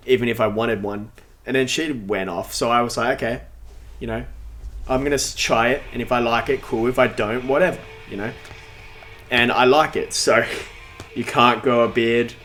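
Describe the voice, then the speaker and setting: A man's voice: mid-range voice, fairly bright tone. A nineteen-year-old man streaming a game, chatting casually in a rambling, talkative way while answering chat, with a voice that sounds younger than his age. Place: at home in front of a big TV.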